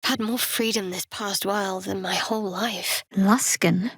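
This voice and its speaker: A woman playing a guarded, sardonic young cleric in a fantasy video game, speaking in a measured, dry, wry way. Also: medium pitch, fairly even intonation, a bit bright, slightly rough, no room sound.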